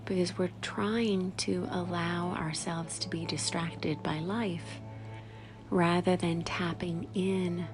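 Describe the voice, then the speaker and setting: A woman guides a meditation in a very slow, lowish voice. She speaks softly in short phrases with long pauses, letting the endings go whispery and creaky. Music plays clearly behind her. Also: fairly even intonation. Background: background music.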